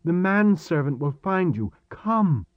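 A man's voice: a little high, very animated, takes it slowly, dry recording, lo-fi sound, voice pushed up. A man voicing an urgent teenage boy in an audiobook, giving insistent warnings in stilted, formal phrases. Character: urgent teenage boy, foreign and archaic-speaking guide. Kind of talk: audiobook character dialogue.

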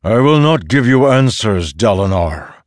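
A man's voice: low voice, slow, darkish tone, slightly rough, no room sound, clean studio sound, full character voice. Man voicing a thundering, domineering storm spirit. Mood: harsh, scornful. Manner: stern, imperious, accusatory. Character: thundering, ancient, godlike storm spirit, male, domineering and disapproving. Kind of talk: dramatic fantasy audiobook character.

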